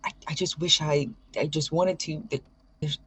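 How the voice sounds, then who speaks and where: A man playing a shy, tender young man who stammers haltingly through audio drama dialogue in a very husky, creaky voice. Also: on the high side, fairly even intonation, fairly bright tone, no room sound. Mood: nervous, longing.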